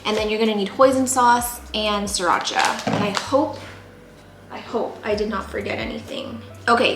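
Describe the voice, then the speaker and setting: A woman's voice: mid-range voice, a bit bright, slight background noise. A young woman from California hosting a casual cooking tutorial, chatty and rambling with lots of fillers, recorded in a home kitchen. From San Jose, California. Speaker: cooking video host. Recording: echoey kitchen with cooking clatter. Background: pots, dishes and knife clicks.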